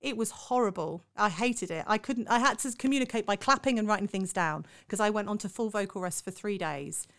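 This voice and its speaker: A woman's voice: medium pitch, fairly quick, few pauses, fairly bright tone. A British woman hosting a casual interview, chatty and affirming as she talks.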